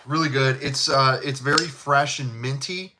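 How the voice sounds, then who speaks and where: A man's voice: mid-range voice, fairly even intonation, a little slow, noisy background. A middle-aged man with a thick Chicago South Side accent, chatting casually about fragrances in a rambling, chummy way. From Chicago, USA. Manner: laid-back, rambling, chummy, with fillers and laughs. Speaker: fragrance channel host. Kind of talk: casual live chat.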